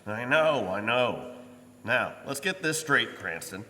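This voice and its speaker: Man acting a forceful, blustery police commissioner. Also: mid-range voice, big pitch swings, slow, heavily acted. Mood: irritated, insistent. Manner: blustery, brusque. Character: gruff, impatient male police commissioner, stubbornly suspicious. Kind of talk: old-time radio drama acting. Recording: live stage in reverberant church hall.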